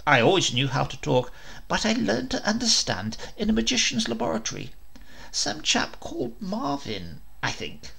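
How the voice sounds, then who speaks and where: A man's voice: high voice, huge pitch swings, gravelly, sharp tone, breathy edge, pitched above the performer's own voice, exaggerated voice. Man doing a blustering talking parrot. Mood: chatty. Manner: blustering, quick to bluff. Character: boastful scheming talking parrot, male. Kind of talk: comic storybook reading.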